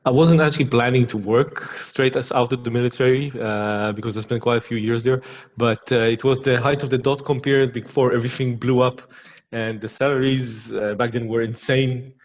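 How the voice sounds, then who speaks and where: A man in his forties, raised in Israel, talking as a guest on an interview in a relaxed, chatty and slightly halting way. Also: mid-range voice, muffled recording. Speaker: guest, security executive. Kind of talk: casual interview.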